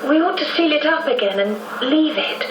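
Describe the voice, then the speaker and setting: An actress playing an earnest, adoring young upper-class daughter in a radio drama, breathlessly sincere in manner. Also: female voice, sits high, animated, moderate pace. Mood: anxious, earnest. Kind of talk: 1990s radio drama acting. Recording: very noisy, echoey radio copy, distant.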